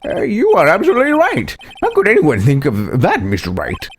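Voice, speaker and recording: A man's voice: high-pitched, huge pitch swings, a little fast, breathy edge, voice pushed up, full character voice. A man voicing a flustered, doddering old courtroom judge in a video game, hesitant and exclamatory. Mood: flustered, agreeable. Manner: hesitant, exclamatory, easily swayed.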